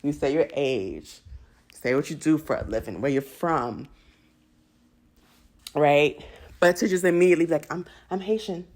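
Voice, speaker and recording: Low-pitched woman giving candid commentary. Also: moderate pace, long pauses, a little mellow. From United States, raised in a Jamaican household. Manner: candid, opinionated, laughs easily while talking. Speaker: TV recap show host. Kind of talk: casual chatty commentary to viewers.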